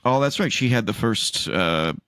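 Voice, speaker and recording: A man co-hosting a casual podcast, stuffy and sniffly from allergies, loose and laughing, swooping high for emphasis. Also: animated, normal speed, fairly bright tone, dry recording, studio quality. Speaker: podcast co-host. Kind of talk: casual chat.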